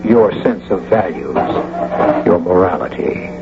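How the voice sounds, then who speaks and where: A man hosting a radio drama, giving a scripted dramatic introduction in a deliberate, probing, rhetorical manner. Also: sits low, lively intonation, gravelly. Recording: muffled old radio broadcast. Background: music.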